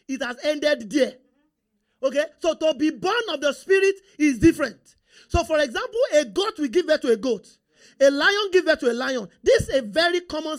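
A male preacher with a Nigerian accent, whose first language is Yoruba, giving a sermon and constantly asking the congregation questions and for agreement. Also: very high voice. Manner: call-and-response, rhetorical questions to the congregation.

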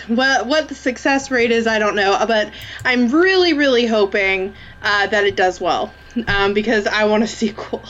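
A woman's voice: medium pitch, fairly bright tone, highs cut off. A woman co-hosting a movie podcast, stuffed up from a sinus infection, chatting casually with lots of fillers and laughs. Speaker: podcast co-host. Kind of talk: casual chat.

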